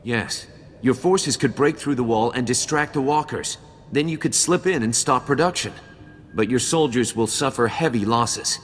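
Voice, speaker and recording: An actor playing a weary, grieving Jedi guardian in a video game, earnest, solemn and formal. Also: male voice, medium pitch, moderate pace, lightly acted. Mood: grim. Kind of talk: video game cutscene acting. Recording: long hall reverb, faint game music behind.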